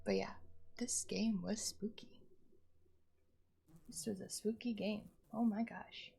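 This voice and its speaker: A woman live streamer chatting casually to viewers in an expressive, rambling, playful way, sounding a little sleepy. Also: medium pitch, takes it slowly, creaky, husky. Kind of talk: casual chatty livestream sign-off. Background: music.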